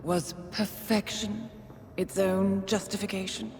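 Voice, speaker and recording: A woman playing a coolly elegant, calculating spy handler with a wistful edge in a video game cutscene, speaking in measured, formal phrases. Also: mid-range voice, little pitch movement, vocal fry, noisy background. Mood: taunting, dreamlike. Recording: music behind the voice.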